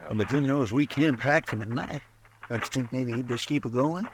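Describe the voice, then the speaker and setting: An actor playing a talkative, fretful frontier sidekick in an old radio drama, with a drawling rustic way of talking. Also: male voice, mid-range voice, moderate pace, noisy background, lightly acted. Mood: folksy. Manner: drawling, rustic country talk. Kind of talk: 1950s radio drama acting.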